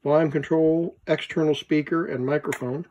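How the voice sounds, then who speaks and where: A man who collects vintage gear gives a casual, unscripted hands-on demonstration, thinking aloud in halting short fragments. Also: a little high, steady tone, a little mellow, slight background noise. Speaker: vintage electronics collector presenting his item.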